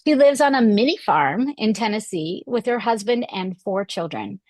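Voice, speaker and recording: Podcast host, woman, 50s, hoarse, upbeat and chatty, dry close-mic sound. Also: mid-range voice, lively intonation, normal speed, darkish tone, no room sound. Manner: enthusiastic, chatty, with fillers. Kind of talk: podcast interview hosting.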